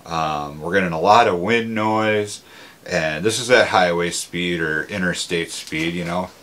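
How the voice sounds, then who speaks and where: A man's voice: low voice, unhurried, a bit bright. A man gives a casual, conversational, plain-spoken product review. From Minnesota, United States. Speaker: motorcycle gear reviewer.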